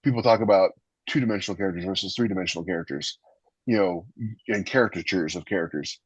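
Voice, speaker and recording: A man with a husky voice explaining his views on a podcast in a casual but emphatic, opinionated way. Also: sits low, fast talker. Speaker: podcast host and author. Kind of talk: casual writing discussion. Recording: roomy, slightly dull lo-fi microphone.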